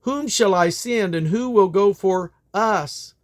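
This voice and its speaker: A man voicing a commanding heavenly being from scripture, proclaiming loudly in drawn-out, declamatory phrases. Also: high voice, pitched above the performer's own voice. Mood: solemn. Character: seraph and God, commanding heavenly voice proclaiming solemnly. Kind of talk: dramatic scripture reading.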